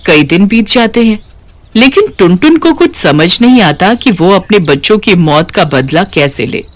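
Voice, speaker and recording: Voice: mid-range voice, very animated, unhurried. A narrator reading a scripted cartoon story.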